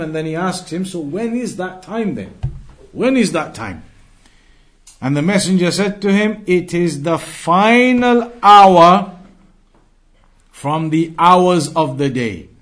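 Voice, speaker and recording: A man giving an explanatory religious lesson as a teacher, didactic, restating key phrases for emphasis and moving between quotations and explanation. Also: fairly high pitch. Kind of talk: explanatory Islamic lesson, translating quoted Arabic into English.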